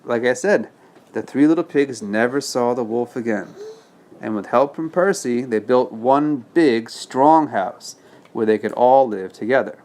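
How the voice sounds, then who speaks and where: A man's voice: medium pitch, measured pace, darkish tone, slight background noise. A man reading a bedtime story as a father to his child, patiently explaining in simple words with check-in questions.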